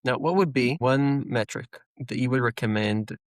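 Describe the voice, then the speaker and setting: A man of average pitch acts as interviewer. He speaks casually as he steers the conversation with questions. The recording is dry, with little sense of a room. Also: moderate pace, no echo. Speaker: interviewer, host.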